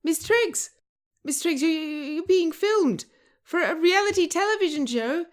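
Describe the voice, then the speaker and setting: A woman's voice: extremely high pitch, lively intonation, unhurried, pitched above the performer's own voice. A woman voicing a flustered, stammering man who pleads urgently, in a scripted screenplay reading. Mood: desperate pleading. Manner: hesitant, stuttering, urgent. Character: flustered, stammering male reality show contestant, pleading.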